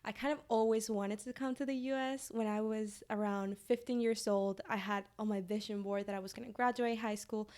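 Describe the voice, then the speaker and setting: A woman's voice: medium pitch, steady tone, brisk, few pauses, a little mellow. Young woman from venezuela, guest on a podcast, earnest, lots of "you know"s. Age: 20s. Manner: earnest, speaks with frequent fillers. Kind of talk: casual interview.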